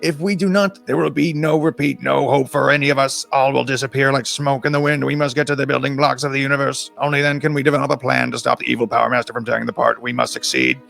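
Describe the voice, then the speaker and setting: A man voicing a stern, officious robot group leader in a storybook, with a scratchy, squeaky mechanical voice and formal, clipped speech. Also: level tone, quick pace, vocal fry, few pauses, a bit bright, soft background music. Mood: grave, urgent. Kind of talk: dramatic reading of a story.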